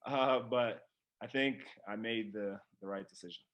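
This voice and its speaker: A man's voice: on the low side, measured pace, fairly bright tone. A young man from the Democratic Republic of Congo speaking casually as an interviewed professional athlete. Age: young adult. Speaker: professional soccer player being interviewed.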